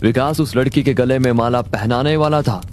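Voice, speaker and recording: A man's voice: mid-range voice, normal speed, a little hiss or hum. A man narrating a scripted horror story as a storyteller. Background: loud background music.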